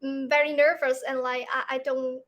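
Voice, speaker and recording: Young woman, Vietnamese accent, high bright voice, slow hesitant speech, video call. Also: level tone. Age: young adult. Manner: hesitant, many fillers, soft laughs. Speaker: English learner. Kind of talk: conversation practice.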